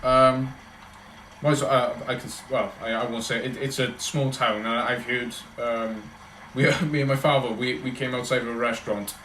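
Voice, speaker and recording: A man's voice: mid-range voice, fairly even intonation, moderate pace, a bit bright, slight background noise. Rambling Welsh man, roomy echo. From Wales. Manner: rambling, chatty, talks in loose run-on thoughts. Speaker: livestreamer answering chat. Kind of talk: casual livestream chat.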